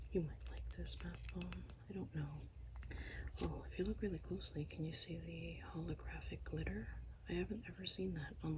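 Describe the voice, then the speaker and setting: A soft-spoken ASMR host, whispering slowly through a product haul with a tired, strained voice. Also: mid-range voice, background noise. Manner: mostly whispered, slow and hushed.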